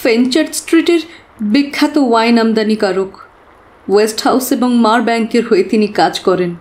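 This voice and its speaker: An actress playing an earnest, devoted young woman client in an audio drama, sorrowful and halting in delivery. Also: fairly high pitch, darkish tone, natural voice. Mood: earnest, subdued. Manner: hesitant, pleading, halting.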